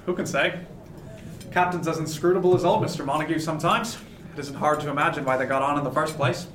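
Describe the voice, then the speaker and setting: A man's voice: fairly high pitch, fairly even intonation, quick pace, a bit bright, a little hiss or hum, natural voice. A man playing a hearty, respected first mate in a period seafaring radio drama, speaking with genial authority and nautical phrasing. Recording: echoey room with faint music.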